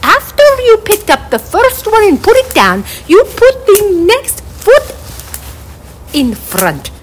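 A middle-aged woman playing an eccentric, theatrical fortune-teller, giving a forecast in halting, repetitive phrases with solemn whimsy. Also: very high voice, wildly swooping pitch, a little hiss or hum, exaggerated voice. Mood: calmly encouraging. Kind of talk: slow theatrical spoken forecast.

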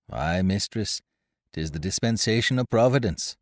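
A man's voice: fairly low pitch, expressive, moderate pace, no room sound, studio quality. A man voicing an oily, sanctimonious scoundrel of a ship's gunner in a storybook. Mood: oily piety. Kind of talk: audiobook character voice, old seafaring dialect.

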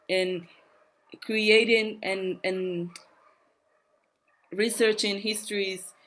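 Halting woman, low voice. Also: a bit bright. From Cuba. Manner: halting, searching for English words. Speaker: workshop speaker. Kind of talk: online panel talk.

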